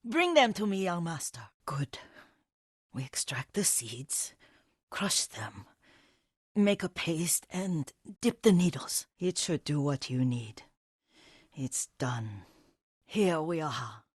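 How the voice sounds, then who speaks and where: An actress playing an elderly, devoted caretaker and herbalist in a video game, gentle but firm, with a husky, breathy voice and a motherly manner. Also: low-pitched, sing-song, no echo, clean studio sound, full character voice. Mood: calm, instructive. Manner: unhurried, softly instructive, motherly. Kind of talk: video game character dialogue.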